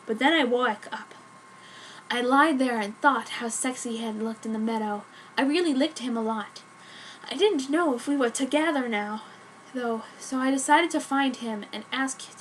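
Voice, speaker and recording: A woman's voice: on the high side, slight background noise, poor audio. A woman giving a theatrical, mock-earnest dramatic reading of fan fiction as narrator.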